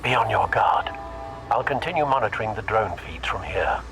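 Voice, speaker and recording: A man playing a loyal, concerned older butler in a video game, with a formal, measured and watchful manner. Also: fairly low pitch, lively intonation, creaky, over music. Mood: watchful, cautious.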